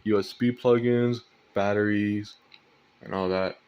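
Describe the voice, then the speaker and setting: A man's voice: on the low side, steady tone, unhurried, darkish tone, smooth, lo-fi sound. A young man giving a casual show-and-tell vlog about his collection, hesitant and rambling with repeated phrases. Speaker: collector presenting weather radios. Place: indoors at home at night.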